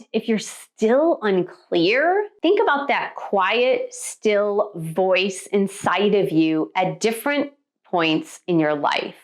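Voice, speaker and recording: An American woman gives a motivational spiritual talk with a very lively, varied pitch. Her delivery is conversational and emphatic, like a meditation coach explaining ideas straight to her viewers. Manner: emphatic, conversational, with rhetorical check-in questions.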